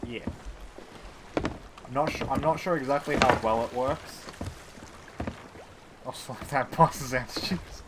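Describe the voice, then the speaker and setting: A man's voice: mid-range voice, takes it slowly, long pauses, noisy background. A man chatting casually as he live streams a game, by turns muttering to himself and teasing his viewers. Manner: playful, teasing banter with chat. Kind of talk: casual gameplay stream chat.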